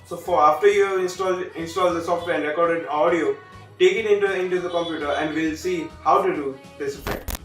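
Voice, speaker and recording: A man with an Indian accent narrating a step-by-step software tutorial as its host, in a scripted style. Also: on the high side, steady tone, brisk, soft background music, rough recording.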